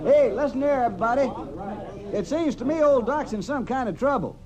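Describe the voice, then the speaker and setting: Over a muffled old radio recording with a crowd behind comes an agitated frontier townsman. He pitches high, with a rural American Western accent. He is folksy, nosy and stubbornly insistent. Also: lively intonation, fairly quick. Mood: agitated, rallying.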